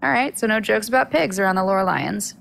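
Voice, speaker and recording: An actress playing an earnest, teasing newcomer in an audio drama, with a creaky, conversational delivery. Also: female voice, mid-range voice, quick pace, fairly bright tone, slight background noise. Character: earnest, teasing woman newcomer from modern America.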